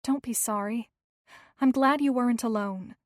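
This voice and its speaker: A woman voicing a caring young female friend who speaks gently and reassuringly. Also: a little high, normal speed, dry recording, clean studio sound, lightly acted. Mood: soothing.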